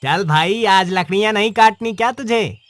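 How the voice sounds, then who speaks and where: A man's voice: high voice, moderate pace, a bit bright, no room sound. A man playing an upbeat, encouraging woodcutter friend in a cartoon story, with a hearty manner. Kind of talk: Hindi cartoon story dialogue. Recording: music under the voice. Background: music.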